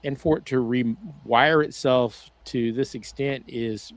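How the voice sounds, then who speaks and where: A man's voice: medium pitch, fairly even intonation, no room sound. A man in the middle of his range co-hosting a podcast from home over a video call. He rambles and restarts his sentences often. The sound is dry and close, with no room around it. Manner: rambling, halting, frequent "uh" restarts. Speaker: podcast co-host. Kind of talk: casual podcast discussion.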